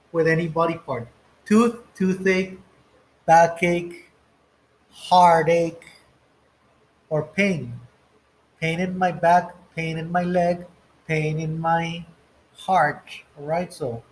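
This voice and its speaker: A man's voice: high voice, low-quality recording. A man teaching an English lesson, going through textbook exercises step by step and reading the example phrases aloud.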